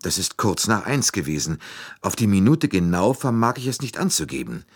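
A man's voice: lowish voice, sing-song, normal speed, slightly rough, dry recording, clean studio sound, voice pushed up, heavily acted. A man voicing a nervous, deferential middle-aged French train conductor in an audiobook. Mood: nervous, defensive. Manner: anxious, deferential, eager to justify himself. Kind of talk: audiobook character dialogue.

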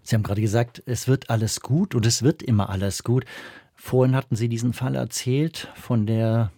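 The husky man with a creaky edge who puts long, searching questions to guests on a talk podcast. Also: medium pitch, fairly even intonation, moderate pace, dark tone, no echo, clean studio sound. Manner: tentative, long-winded, thinks aloud while asking. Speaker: interviewer. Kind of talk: conversational interview questions.

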